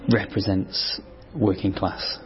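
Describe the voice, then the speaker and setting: A man in his fifties from England with a Manchester-area accent, talking haltingly in an interview, with fillers and restarts. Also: low-pitched, little pitch movement. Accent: northern English, Manchester area. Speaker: interviewee, photographer. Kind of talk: casual interview talk. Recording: dull lo-fi radio sound.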